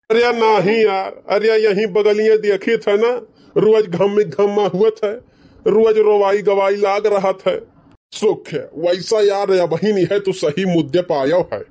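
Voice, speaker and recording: A man's voice: high-pitched, a little mellow. A man voicing a bickering, boastful village man in a comedy cartoon, with a rustic Awadhi accent from eastern Uttar Pradesh. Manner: colloquial rustic banter.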